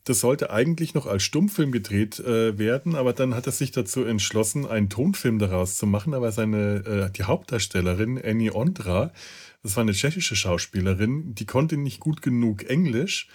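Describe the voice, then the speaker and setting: A man casually explaining trivia in conversation, haltingly, searching for his words as he goes. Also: lowish voice, animated, a little mellow, breathy edge. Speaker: podcast co-host.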